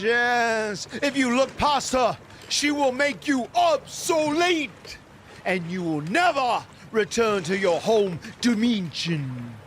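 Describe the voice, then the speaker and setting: A man's voice: very high-pitched, fairly bright tone, a little hiss or hum, heavily acted. An actor playing an eccentric mystical ferryman in a video game, speaking in flowery, grandiose, theatrical lines.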